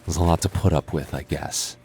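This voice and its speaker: A deep-voiced young man, played by a male voice actor in an intimate audio roleplay. He is a defensive, teasing childhood friend hiding his affection. His delivery is halting and stammering, quick to bicker yet secretly smitten. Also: fairly even intonation, creaky, mellow, slight background noise. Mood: sardonic, bickering. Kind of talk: intimate boyfriend ASMR roleplay acting.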